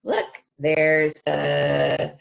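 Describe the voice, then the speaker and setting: Lively low-voiced woman reading to children. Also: drawn-out words, gravelly, lo-fi sound. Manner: expressive, stretches key words, addresses children directly. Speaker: speech-language pathologist reading to children. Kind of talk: animated children's read-aloud with questions to listeners.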